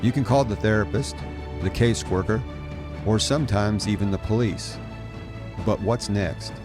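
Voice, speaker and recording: A middle-aged man narrating a scripted podcast as host, in a measured, encouraging manner. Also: fairly low pitch, music in the background. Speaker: podcast host, adoption life coach.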